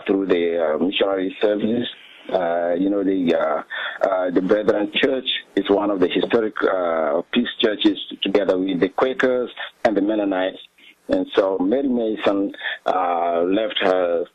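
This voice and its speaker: Slow, halting South Sudanese man, low voice. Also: no room sound, dull audio. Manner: hesitant, halting, many pauses and ums. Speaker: interview guest. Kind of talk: recounting personal history in an interview.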